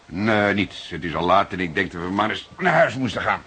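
A man playing an unhurried, wry, stubborn veteran police detective in a radio drama, speaking in a deliberate, dry, fatherly way. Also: low-pitched, lively intonation, a bit bright. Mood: calm, wry. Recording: noisy archive radio drama recording.

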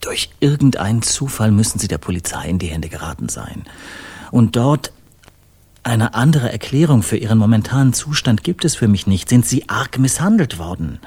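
A husky, fairly low-voiced poet of the Paris avant-garde in a radio drama. He speaks emphatically and in flowery, indignant flourishes, with a breathy edge. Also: big pitch swings, moderate pace, a little mellow, full character voice. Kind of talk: German radio drama acting.

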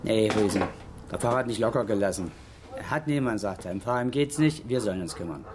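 An actor playing a soft-hearted, worried village policeman in a radio drama, reasoning in colloquial speech. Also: on the low side, animated, quick pace, close to an everyday voice. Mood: worried, helpless. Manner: colloquial, reasoning, mildly exasperated.

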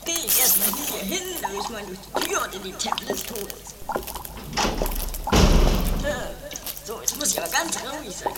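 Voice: medium pitch, animated, a little fast, lightly acted. A performer voicing Heinz, a dim, whiny and melodramatic man who stammers, in an improvised comedy radio play. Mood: flustered. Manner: stammering, hapless. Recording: very noisy amateur 1980s tape.

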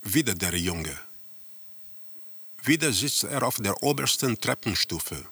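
An actor playing a detached narrator in a radio drama, describing eerie scenes in a measured, matter-of-fact way. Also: male voice, on the low side, expressive, sharp tone, breathy edge, no room sound, exaggerated voice.

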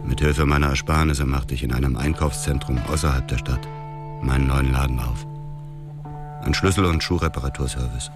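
A grieving middle-aged widower tells his story in a radio drama. The voice is extremely low, sombre and restrained, bitter in short terse phrases, with music underneath. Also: steady tone, fairly quick. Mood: sombre, numb. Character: embittered, grieving middle-aged widower, a man emptied by loss. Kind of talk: scripted radio drama narration.

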